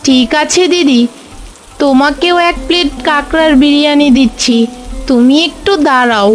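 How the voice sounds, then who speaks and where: নারীকণ্ঠে চড়া গলার দয়ালু গ্রামের বৌদি. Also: female voice, high-pitched, moderate pace, soft background music, lightly acted. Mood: welcoming. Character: kindly, welcoming village sister-in-law running a small eatery. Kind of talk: children's fairy-tale cartoon acting.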